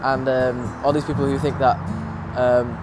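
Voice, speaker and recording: A man's voice: medium pitch, flat delivery, a little slow, rough recording. A young man, a student, speaking thoughtfully and searching for words in a documentary interview. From raised in Manchester, born in London. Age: young adult. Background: music.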